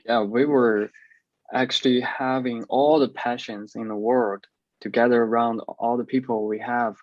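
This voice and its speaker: A man, a film producer, speaking halting, non-native English in a casual video interview. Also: medium pitch, fairly even intonation, no room sound, highs cut off.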